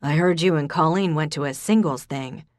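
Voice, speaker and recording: Shy kind guy, read by a female narrator in a low, dry delivery. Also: normal speed, no echo, close to an everyday voice. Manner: hesitant. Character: young man, hesitant and kind.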